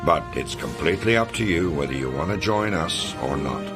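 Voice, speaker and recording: A man playing a commanding, confident American intelligence officer, delivering a measured, authoritative mission briefing in a video game. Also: a bass voice, expressive. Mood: brisk, persuasive. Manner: authoritative, measured, matter-of-fact. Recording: music under the voice. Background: background music.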